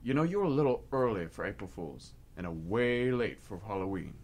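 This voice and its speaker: A man's voice: on the low side, expressive, moderate pace, darkish tone. A man acting in a comic radio play as a sardonic, unimpressed policeman, dry and sceptical in manner. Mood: dry, dismissive.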